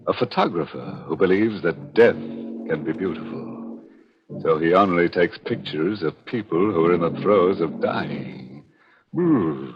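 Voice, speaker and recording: An actor playing a gleefully macabre horror host on 1940s radio, sardonic and fond of morbid puns. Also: male voice, sits low, lo-fi sound. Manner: sardonic, punning, chuckling, drawn-out delivery.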